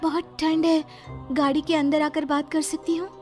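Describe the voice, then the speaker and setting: Woman acting a high-pitched, girlish lost bride-to-be. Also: little pitch movement, moderate pace, dark tone, faint music behind, natural voice. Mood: pleading. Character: mysterious lost young bride-to-be, pleading and anxious. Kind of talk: audio horror story acting.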